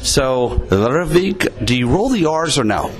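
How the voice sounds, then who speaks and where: A man's voice: medium pitch, sing-song, measured pace. Laid-back swearing Texan man. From Texas. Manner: laid-back, swears a lot, lots of fillers. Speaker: podcast host. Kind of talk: casual rambling chat.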